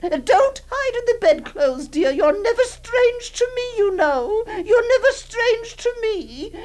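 A clingy, possessive aunt, played by a woman, speaks in a very high, sing-song voice that swoops up and down. She lingers slowly over her words, hushed and tremulous, pleading with the little girl in her care. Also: sing-song, exaggerated voice. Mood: coaxing. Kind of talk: dramatic monologue reading.

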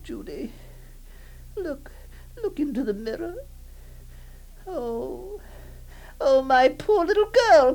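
A woman playing a trembling, high-voiced possessive aunt. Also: exaggerated voice, poor audio. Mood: coaxing. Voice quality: tremulous, hushed and pleading. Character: doting, possessive aunt soothing a girl. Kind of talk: dramatic monologue reading.

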